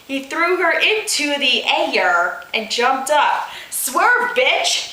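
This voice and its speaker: A bright, high-pitched woman reads a silly story aloud in an echoey room. She takes her time and plays up the drama, sounding giggly and in disbelief at what she reads. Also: a little hiss or hum. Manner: giggly, mock-dramatic, incredulous. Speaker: host reading fan fiction aloud. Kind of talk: dramatic comic read-aloud of amateur story with asides.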